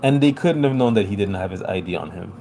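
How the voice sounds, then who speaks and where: A lower-pitched man whose first language is French talks casually on his livestream, rambling and reacting to his chat with plenty of fillers. There is a clear hiss of noise under his voice. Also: animated, fast talker, mellow. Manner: loose, rambling, fillers like "you know". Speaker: livestream host.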